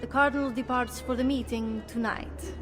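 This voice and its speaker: A woman's voice: a little high, steady tone, moderate pace, over music, natural voice. A woman playing a discreet, businesslike informant in a video game cutscene. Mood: conspiratorial.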